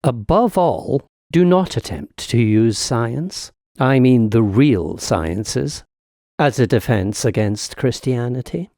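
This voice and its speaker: A man's voice: medium pitch, lively intonation, takes it slowly, warm tone, no echo, studio quality. A man voicing an urbane senior demon in a dramatic audiobook, lecturing his young nephew with pompous, sly smugness. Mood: sly, instructive. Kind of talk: dramatic audiobook reading of epistolary satire.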